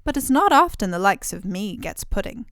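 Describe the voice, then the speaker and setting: A woman's voice: medium pitch, big pitch swings, moderate pace, a bit bright, dry recording, studio quality. A woman voicing the sweet-talking wife of a man-eater, using an old-fashioned country dialect. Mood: eager.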